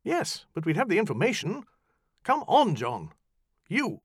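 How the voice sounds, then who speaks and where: An imperious woman magician, put on by a male narrator, her raised voice brisk, sharp and commanding. The pitch swoops expressively over a close, dry audiobook recording. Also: animated, no room sound.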